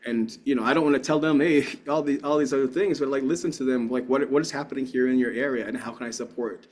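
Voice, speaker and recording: A man's voice: mid-range voice, steady tone, fast, darkish tone. A man giving a keynote talk and answering audience questions in an earnest, rambling way with frequent restarts. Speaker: keynote speaker.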